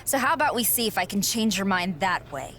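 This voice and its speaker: Feisty, animated young wrestler. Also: female voice, mid-range voice, brisk. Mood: defensive. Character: feisty, defensive young female wrestler protagonist. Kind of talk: video game cutscene acting.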